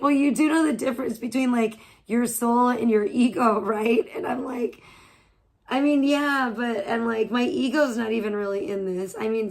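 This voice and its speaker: A woman in her thirties streaming live from home, chatting loosely with viewers, slightly hoarse and swearing freely between laughs. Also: on the high side. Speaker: livestreamer.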